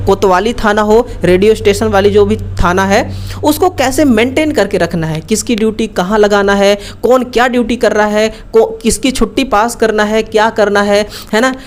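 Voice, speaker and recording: A woman teaches an exam-prep class with a mid-pitched voice. She explains things informally, in colloquial, chatty language. She often adds little check-in tags as she goes. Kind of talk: informal explanatory online lesson.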